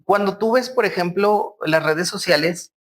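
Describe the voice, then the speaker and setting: Guest doctor, male, 30s, Mexican accent, slightly high voice, explanatory. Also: unhurried, fairly bright tone, a little hiss or hum. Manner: explanatory, uses everyday examples, chuckles. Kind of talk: podcast interview conversation.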